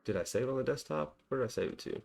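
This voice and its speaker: A man's voice: medium pitch, animated, fast, gravelly, a little mellow. A man hosting a cybersecurity walkthrough, thinking aloud in a casual, self-deprecating way with frequent ums and small laughs. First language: English. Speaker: cybersecurity tutorial host.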